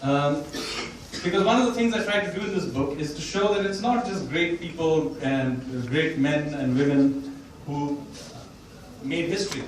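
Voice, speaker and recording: A man, an author at a bookstore event, talks about his book and reads a passage from it, pausing often and restarting his sentences. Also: a little high, a little hiss or hum. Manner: thoughtful, with pauses and restarts. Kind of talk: talk and reading from his book. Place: bookstore event room, indoors. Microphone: distant mic or none. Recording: very echoey room, voice from far off.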